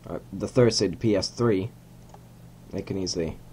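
A young Hungarian man with a fairly low voice talks through a simulated rocket launch from his desk at home. He rambles, corrects himself mid-sentence and tosses in chuckling asides, with a faint hum behind him. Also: normal speed, darkish tone. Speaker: gamer narrating a rocket launch. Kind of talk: casual game commentary. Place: small room at home.

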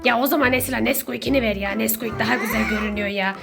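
Over music, a woman puts on a greedy, pot-bellied neighbour from a kids' skit. The voice is creaky and whining, always pleading for food or muttering sneaky plans to itself. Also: a little high, steady tone, rapid-fire. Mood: whiny pleading. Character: whiny, greedy, ever-hungry pot-bellied neighbour intruder scheming for snacks. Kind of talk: children's pretend-play skit.

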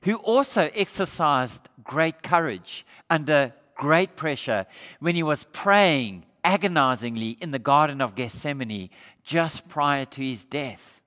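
Animated preaching man in a reverberant church. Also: a little high, measured pace. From Australia. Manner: animated preaching with rhetorical questions, gesturing while standing. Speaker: pastor. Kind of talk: sermon. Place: church sanctuary stage, indoors.

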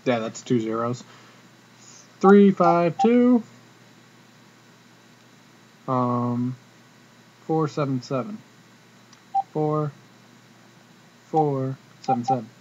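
A young man chatting casually to camera in a cocky, slangy, animated way, like a comedic vlogger. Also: mid-range voice, drawn-out words, long pauses. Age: young adult. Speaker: vlogger, prank caller. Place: small room indoors. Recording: dull webcam audio with noticeable hiss.

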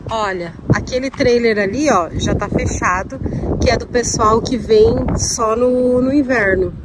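A woman's voice: fairly high pitch, measured pace, noisy background. A Brazilian woman chatting to her viewers on a casual vlog, moving between outdoors and a car at a campground. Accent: Brazilian Portuguese. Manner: chatty, addresses viewers directly. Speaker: vlogger.

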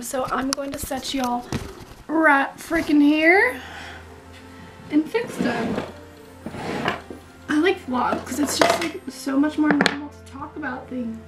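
Twenties woman, high, slightly raspy voice, chatty vlogger, drifts into whispers. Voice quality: raspy, throat not clear. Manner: chatty, gushing, drops to whispers while doing makeup. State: recovering from illness, clearing throat. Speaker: beauty vlogger. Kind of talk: casual vlog chat. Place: small bedroom, indoors. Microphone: camera mic, close framing.

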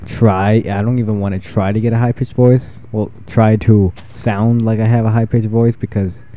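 Teenage boy, fourteen, deep new voice, dark tone, rambling, self-deprecating, gameplay commentary. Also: flat delivery, moderate pace, highs cut off, slight background noise. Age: 14, teenage boy with newly deepened voice. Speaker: gaming channel host.

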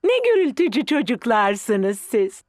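High, sing-song granny pig. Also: female voice, sing-song, a little slow, no echo, clean studio sound. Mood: fond, cheerful. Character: kindly, doting grandmother pig. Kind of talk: children's cartoon dubbing.

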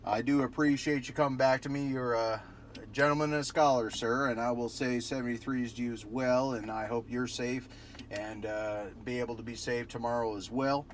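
A man's voice: mid-range voice, fairly even intonation, normal speed. A man talking casually as a radio operator, rambling through jargon, recorded on a cell phone. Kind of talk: casual radio contact chat. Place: vehicle parked at night. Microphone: cell phone held near the radio. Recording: cell phone video with clear background noise.

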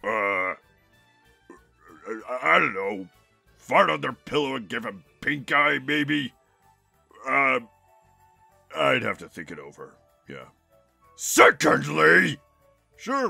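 A man voicing a huge, hot-tempered and vain turtle-monster king in a cartoon fan dub, gruff, raspy and blustering. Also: medium pitch, bright, forceful tone, dry recording, full character voice.

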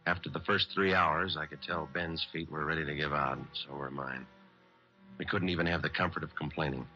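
A man's voice: a bass voice, fairly even intonation, a little fast, bright, forceful tone, full character voice. An actor playing a stoic, dogged American police detective narrating his case in terse, matter-of-fact radio-drama style. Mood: weary, deadpan. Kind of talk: 1940s-50s radio crime drama, clipped narration. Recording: dull vintage radio recording, music.

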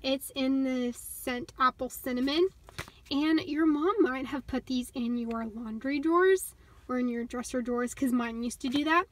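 A woman's voice: high-pitched, normal speed. A young woman giving a chatty, upbeat tour of her car, recorded on a handheld phone inside the parked car. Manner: chatty and upbeat, with little laughs. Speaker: vlogger. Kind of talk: casual car tour walkthrough. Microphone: phone held in hand.

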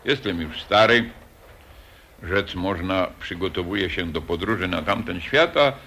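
An actor playing an older, boastful and self-pitying engineer in 1940s radio acting, with lively, theatrical hesitations. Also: male voice, deep voice, a little slow, exaggerated voice. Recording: old radio recording, faint hiss.

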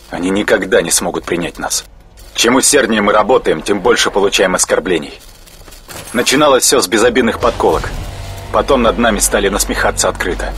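Low-pitched male actor as bitter game outsider, restrained monologue, faint music behind. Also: a bit bright, close to an everyday voice. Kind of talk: dramatic audio log monologue in a video game.

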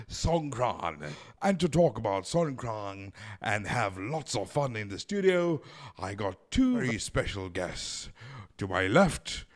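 Theatrical, mock-formal comedy host. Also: male voice, on the low side, very animated, takes it slowly. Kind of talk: hammy scripted comedy hosting. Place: small home studio. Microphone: desk mic on boom arm.

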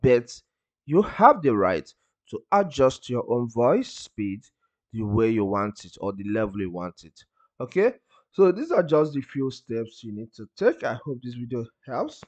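A man guides listeners through a software tutorial one step at a time. His intonation is lively, rising and falling widely at a middling pitch, with short phrases that open on an emphatic word. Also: measured pace, lo-fi sound. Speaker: tutorial presenter.

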